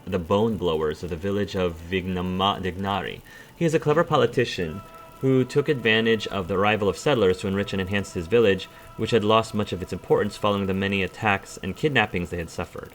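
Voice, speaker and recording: A man's voice: lowish voice, a little fast, a little hiss or hum. A man hosting a game playthrough, reading in-game codex entries aloud with stumbles and self-corrections and dropping brief dry asides. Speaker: let's play host.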